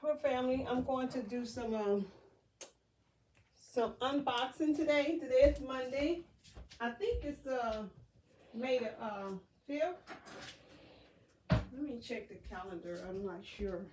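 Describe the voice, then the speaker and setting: A woman's voice: high voice, slow pace, pauses often. Chatty stuffy-nosed woman, distant mic. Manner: chatty, rambling, many fillers. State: stuffy nose. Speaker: vlogger. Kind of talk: casual unboxing vlog. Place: outdoors near a garden. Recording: noisy, from off mic.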